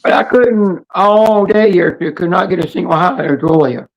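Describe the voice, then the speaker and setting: A woman with a hoarse, raspy voice hosting a casual livestream chat in a rambling, halting, blunt way. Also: lowish voice, rough recording. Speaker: livestream host.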